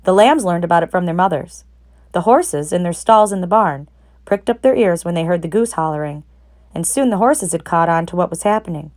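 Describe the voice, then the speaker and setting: Low-pitched woman reading a children's story. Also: expressive, brisk, a little mellow. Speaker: narrator, read-aloud reader. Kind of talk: expressive children's storybook read-aloud.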